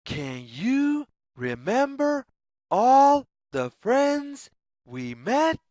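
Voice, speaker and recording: A woman reading a story aloud for young children, phrasing deliberately like a teacher and putting questions to the listener. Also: high voice, big pitch swings, mellow, no echo, clean studio sound. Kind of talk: children's story read-aloud, scripted narration.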